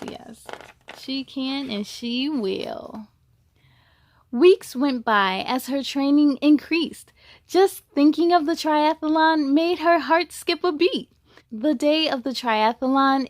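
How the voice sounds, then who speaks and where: A woman reading a children's book aloud in an expressive, encouraging way, with chatty asides to young listeners. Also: sits high, dry recording, studio quality.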